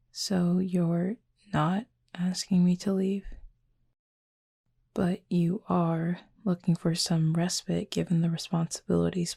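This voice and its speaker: Woman as breathy, creaky-voiced, courteous cupid roommate. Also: lowish voice, no room sound, studio quality, exaggerated voice. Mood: hesitant, puzzled. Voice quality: soft, breathy, husky. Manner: polite, formal, measured, earnest. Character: gentle, formal, curious supernatural cupid roommate. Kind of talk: intimate roleplay audio acting.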